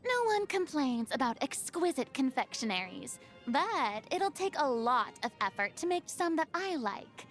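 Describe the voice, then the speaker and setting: A young game heroine: high, unhurried, haughty former stage star, refined and dramatic, wistful underneath. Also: female voice, sing-song, a bit bright, soft background music, exaggerated voice. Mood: theatrical, musing. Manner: theatrical, refined, haughty diction with dramatic swings. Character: theatrical young woman, proud, dramatic former stage idol, secretly wistful. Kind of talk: video game character voice lines.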